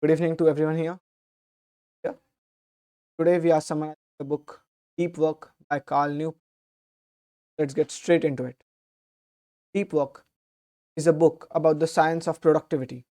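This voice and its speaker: Slightly hoarse young man, mellow voice. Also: on the high side, moderate pace, pauses often. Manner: steady, earnest explaining with small restarts. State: slightly hoarse from a sore throat. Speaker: book summary host. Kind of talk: explanatory book summary talk.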